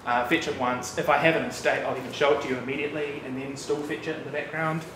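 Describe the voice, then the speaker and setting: New Zealand developer in his thirties, chatty meetup presenter, mid-pitched, distant room mic. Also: fast. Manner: relaxed, chatty, with frequent fillers and asides. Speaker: developer giving a meetup talk. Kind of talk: casual technical talk. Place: bright loft-like office room with an audience. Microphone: room mic, speaker standing away from it. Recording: distant, echoey room with background noise.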